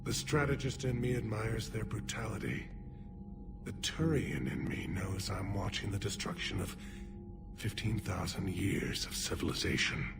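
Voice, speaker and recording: Solemn, weary alien commander, fairly low voice, music under. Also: male voice, moderate pace, vocal fry. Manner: solemn, grave, dignified. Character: weary alien military leader, male, grave. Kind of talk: video game voice acting. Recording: game cutscene with music.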